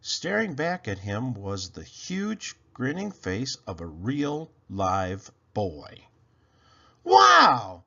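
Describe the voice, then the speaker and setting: A man with a gravelly, husky voice narrating a children's story in an expressive read-aloud style. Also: on the low side, takes it slowly, hardly any pauses, dull audio. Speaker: storybook reader.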